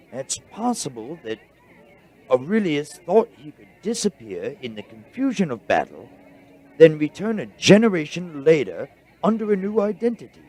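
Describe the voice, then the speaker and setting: Man acting as bookish scholar, husky, higher-pitched, radio drama, halting excited explanations, very lively intonation. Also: heavily acted. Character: excitable, bookish male scholar known as Doc.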